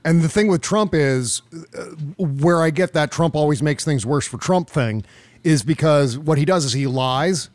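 Male American political podcaster, a touch high in pitch, conversational and emphatic, recorded very dry. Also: moderate pace, no echo. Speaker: podcast host. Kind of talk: casual political commentary.

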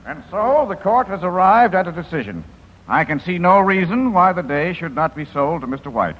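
An actor playing a courtroom judge, deliberate, formal and authoritative in 1950s radio drama style. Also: male voice, high-pitched, big pitch swings, breathy edge, exaggerated voice. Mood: solemn. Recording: dull, noisy vintage 1950s radio broadcast.

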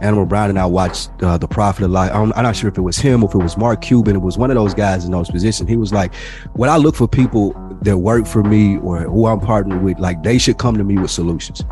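Lowish voiced guy cohosting a podcast, slangy and sweary, tells stories animatedly, music behind him. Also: fast. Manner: slangy, profane, animated storytelling. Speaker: podcast co-host. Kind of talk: casual podcast chat.

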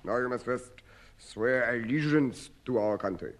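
An actor playing a stern French Foreign Legion officer in a radio comedy, with a French accent and clipped, formal military delivery. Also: male voice, mid-range voice, measured pace, lightly acted. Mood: formal, polite. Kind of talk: 1950s radio comedy acting. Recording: noisy old radio comedy recording.

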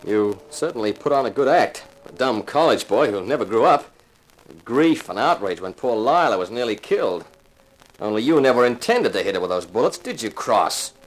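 An actor playing a tough, wry private detective who narrates in a laconic 1950s radio crime drama style. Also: male voice, medium pitch, big pitch swings, moderate pace. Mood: cool, goading. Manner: hard-boiled, sardonic, laconic. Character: tough, wry male private detective, cool under threat. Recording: noisy vintage radio recording.

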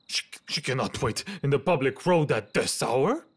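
A man playing a fussy, anxious Victorian gentleman in an audio drama, hesitant and stammering as he talks. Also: medium pitch, animated, moderate pace, husky. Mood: flustered, indignant.

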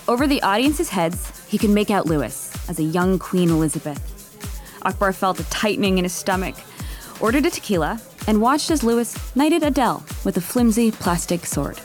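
A woman delivering scripted third-person story narration as the narrator of an audio drama. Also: mid-range voice. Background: music.

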